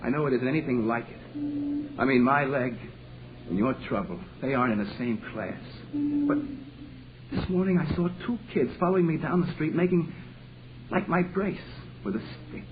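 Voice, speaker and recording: An American actor playing an earnest, desperate, lovesick young man, halting and colloquial, in 1940s radio drama style. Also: mid-range voice, lightly acted. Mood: urgent, pleading. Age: young adult. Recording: noisy, tinny 1940s radio broadcast.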